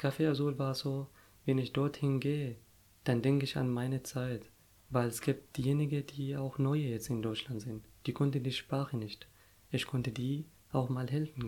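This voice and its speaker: A young man whose first language is Arabic, speaking in an interview. He talks casually and openly, often restarting phrases and reaching for the right word. His voice sits in an ordinary middle pitch. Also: a little mellow. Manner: searching for words, frequent restarts and fillers.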